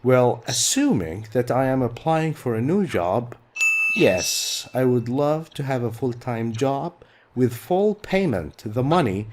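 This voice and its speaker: A man's voice: mid-range voice, animated, takes it slowly, background noise. A middle-aged man whose first language is Arabic, teaching an English textbook lesson patiently and repeating key words.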